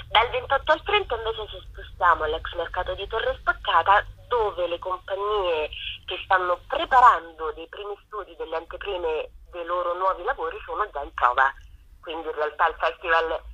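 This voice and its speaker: Female interviewee, very bright forceful delivery, rough-edged husky tone, enthusiastic explainer. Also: medium pitch, muffled recording. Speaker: festival organiser being interviewed. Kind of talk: spontaneous interview talk.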